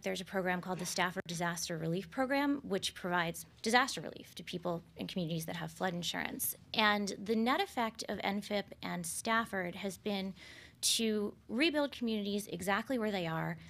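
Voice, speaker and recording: A soft-spoken woman speaking as a panelist at a press event, explaining policy in a measured way into a table microphone. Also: mid-range voice, gravelly. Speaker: panelist, researcher. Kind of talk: panel discussion remarks. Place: conference room at a press event.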